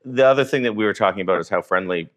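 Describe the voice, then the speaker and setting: A man's voice: fairly low pitch, very fast. A man hosting a casual interview, asking easygoing questions that often restart midway. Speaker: host, interviewer.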